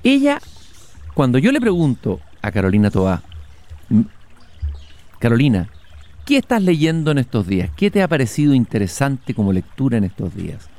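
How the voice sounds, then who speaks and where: A man hosting a relaxed interview while walking outdoors in a garden, speaking in a reflective, measured way with hesitations. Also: medium pitch. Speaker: host.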